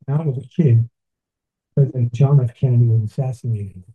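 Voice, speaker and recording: Older New man, husky, phone-thin. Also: mid-range voice, steady tone. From Brooklyn, New York. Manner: slow, deliberate, with pauses. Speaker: speaker giving a talk. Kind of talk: measured reflective address. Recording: tinny phone-like sound.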